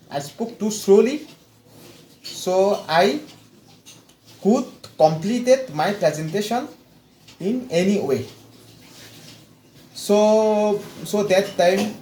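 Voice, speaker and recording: Man, high-pitched voice, hesitant testimonial, faint background hum. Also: darkish tone. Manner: hesitant, with repeated words and long pauses. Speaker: client giving a testimonial. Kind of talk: personal story, slow and halting. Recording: echoey room with faint background noise.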